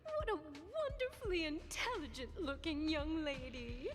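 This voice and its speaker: Flattering old lady, chatty, genteel, unhurried, animated, game character, long reverb with music behind. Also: high voice. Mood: flattering, delighted. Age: older. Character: chatty, flattering elderly woman with failing eyesight. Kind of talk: scripted game cutscene acting.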